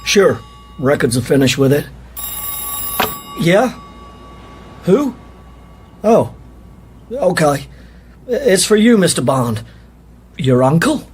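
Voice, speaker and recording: American cop, brash, highish voice, animated, unhurried, radio drama. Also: male voice, soft background music. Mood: amused. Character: brash, matter-of-fact American police detective, male.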